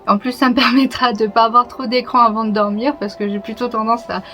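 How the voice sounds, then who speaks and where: A young woman with a fairly high voice speaks straight to camera in a relaxed personal vlog. She talks in a reflective, candid way, pausing on small fillers and breaking into light laughs. Background music plays clearly underneath her. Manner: reflective, open, with soft laughs and hesitations. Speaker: YouTuber. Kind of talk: casual personal vlog talk.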